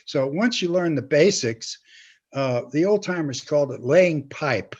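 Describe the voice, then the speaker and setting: An older man chatting casually as an interview guest, speaking haltingly with small chuckles. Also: a little high, animated, darkish tone. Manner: halting, chuckles while talking. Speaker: guest, comedy writer and teacher. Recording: slightly dull lo-fi sound.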